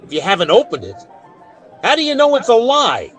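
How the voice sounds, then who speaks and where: An actor playing a plainspoken, fair-minded frontier marshal with authority in a radio western. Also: male voice, extremely high pitch, huge pitch swings, fairly quick, fairly bright tone, soft background music, full character voice. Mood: stern, measured. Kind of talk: old-time radio western acting.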